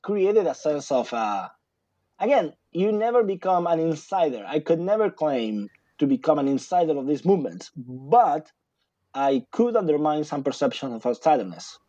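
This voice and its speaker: A man's voice: on the high side, very animated, moderate pace, dark tone, dry recording. A man from Argentina, a guest in a casual interview, talking in a rambling way with many fillers and restarts. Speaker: guest author, sociologist.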